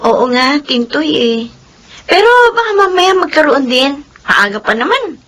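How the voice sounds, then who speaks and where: Naive, humble young mother, radio drama; high-pitched, hesitant, soft-mannered. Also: sing-song, unhurried, heavily acted. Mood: grateful. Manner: meek, hesitant, polite. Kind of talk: Filipino radio drama acting.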